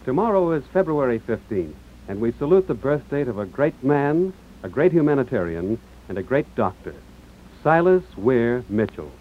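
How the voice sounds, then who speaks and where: A man's voice: mid-range voice, sing-song, moderate pace. A man announcing in a grand, solemn, declamatory way, reading a 1940s radio advertisement. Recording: tinny, lightly noisy old radio broadcast.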